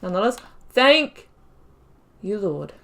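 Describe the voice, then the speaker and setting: A woman's voice: medium pitch, very animated, slow pace, vocal fry, slight background noise. Chatty, rambling woman doing casual gameplay commentary. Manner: chatty, rambling, self-deprecating, jokey. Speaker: video game streamer, playthrough host.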